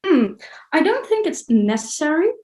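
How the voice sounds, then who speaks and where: Dutch-accented woman, highish voice, echoey room. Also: very animated, normal speed, dark tone. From Netherlands. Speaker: interview guest, solo traveller. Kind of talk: casual interview chat.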